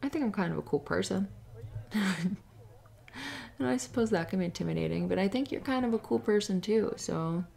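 A young woman voicing a friendly, bashful cheerleader in an intimate ASMR roleplay, talking in a halting, giggly, flustered way. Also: mid-range voice, expressive, vocal fry, warm tone. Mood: reassuring, flustered.

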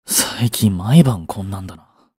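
A man's voice: deep voice, a little slow, a little mellow, husky, dry recording, studio quality. A man playing a curt, sulky young boyfriend in a romance audio drama, with a blunt, muttering manner. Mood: irritated, weary. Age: young adult. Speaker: voice actor.

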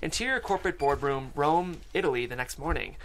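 A table read narrator delivers scripted stage directions from a screenplay. The voice is of medium pitch and is recorded on a studio microphone. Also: animated, brisk, noisy background. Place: podcast studio. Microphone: studio microphone and headphones.

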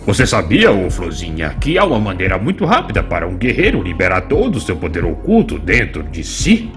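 A man's voice: sits low, huge pitch swings, a little fast, exaggerated voice. A man with a Brazilian accent voicing a hulking, taunting demon villain in an anime fan dub, with a mocking, menacing delivery. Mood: sadistic menace. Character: gloating hulking horned demon villain, adult male. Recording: loud music behind the voice.

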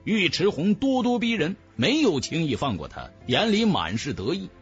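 A man's voice: on the high side, wildly swooping pitch, normal speed, breathy edge, muffled recording, faint music behind. A man narrating a fantasy novel as a scripted audiobook, with emphatic, dramatic storytelling.

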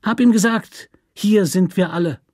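An actor playing a hard, resentful convict in a radio play, with a nasal, whining, hissing voice that pleads and threatens. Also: male voice, high voice, normal speed, a little mellow, no room sound, clean studio sound, voice pushed up. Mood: bitter whining. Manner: pleading, aggrieved, snarling threats. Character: hard, lean male convict, resentful, cornered, whining and menacing.

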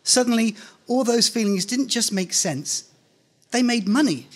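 A man's voice: extremely high pitch, expressive, unhurried. A middle-aged man reading a scripted first-person story aloud on stage into a stand microphone. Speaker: reader of a short story at a live event. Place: indoor stage at a live reading event. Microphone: microphone on a stand, close in front.

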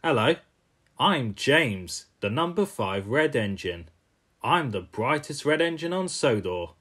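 A man's voice: mid-range voice, big pitch swings, unhurried, a bit bright, breathy edge, exaggerated voice. A man voicing a vain, boastful male steam engine for a cartoon voice reel.

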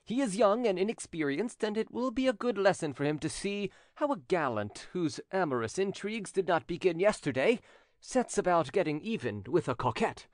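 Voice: medium pitch, lively intonation, normal speed, dry recording, pitched above the performer's own voice, natural voice. A narrator playing a boastful, breezy older gallant, a commander with a flamboyant manner, in a storybook. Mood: smug.

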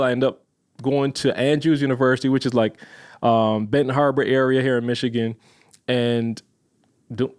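A man from Barbados, a podcast guest in his thirties, telling the story of his career in a lively, casual way. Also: mid-range voice. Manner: animated, addresses listeners as y'all, laughs often.

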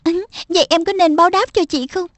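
A woman's voice: very high-pitched, steady tone, fairly quick, dry recording. A woman voicing an assertive, coaxing schoolgirl elder sister in a dubbed children's cartoon.

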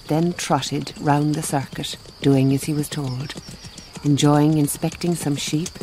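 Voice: medium pitch, noisy background. A narrator delivering scripted storytelling for a radio drama.

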